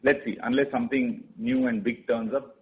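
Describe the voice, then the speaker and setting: A man answering in a measured, cautious way as an executive on an earnings call. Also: fairly even intonation. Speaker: company executive. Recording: tinny phone line with faint rumble.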